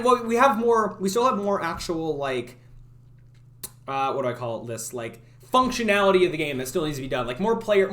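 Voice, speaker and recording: A young man streaming his game development, chatting casually and thinking out loud as he works, often correcting himself. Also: a little high. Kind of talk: casual live-stream chat while working.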